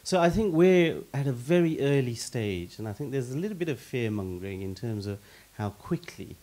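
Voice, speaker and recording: A man's voice: mid-range voice, lively intonation, moderate pace, warm tone. A middle-aged man on a panel, talking expansively into a handheld mic and stacking examples, often repeating a word as he starts. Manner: expansive, repeats words when starting, gives lists of examples. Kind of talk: panel discussion answer. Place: stage in a meeting hall with audience.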